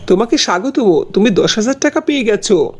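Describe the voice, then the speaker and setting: A man's voice: high-pitched, lively intonation, brisk, breathy edge. A man voicing a polite contest official in a cartoon, explaining things courteously in announcement style. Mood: congratulatory. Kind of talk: Bengali fairy-tale cartoon dubbing.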